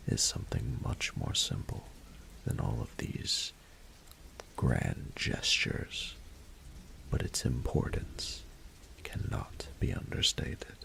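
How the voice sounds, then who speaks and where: A man playing a regal, doting dragon ruler in a romantic audio roleplay, speaking formally in a gravelly, breathy murmur. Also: rumbling low, a bit bright, full character voice, rough recording. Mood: tender, earnest. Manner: formal, unhurried, eloquent, courtly. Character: regal male dragon ruler, doting, patient, courtly mentor.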